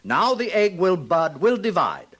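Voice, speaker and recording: A man playing a brisk, self-satisfied hatchery director, lecturing pompously in a 1950s radio drama. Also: sits high, sing-song, fairly bright tone, breathy edge, exaggerated voice. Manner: pompous, lecturing, hesitating with small uhs. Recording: noisy vintage radio recording with clicks.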